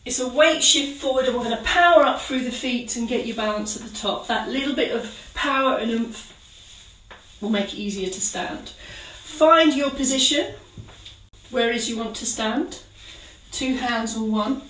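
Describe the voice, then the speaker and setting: A woman leading a gentle exercise class, giving calm, encouraging step-by-step cues. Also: a little high, normal speed, recorded from a distance, a little hiss or hum. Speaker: exercise instructor. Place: kitchen. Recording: roomy sound from away from the mic.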